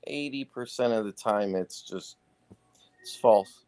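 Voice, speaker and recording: A man chatting casually as a guest on a live talk show, laid-back and halting, with lots of fillers. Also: lowish voice, darkish tone, clean tone. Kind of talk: casual chat.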